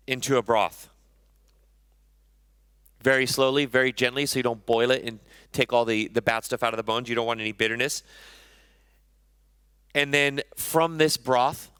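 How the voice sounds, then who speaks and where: A man's voice: medium pitch, a bit bright, clean tone, no echo. A man in his thirties, a chef giving a conversational conference talk on stage, often correcting himself mid-sentence. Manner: conversational, self-correcting, enthusiastic about food.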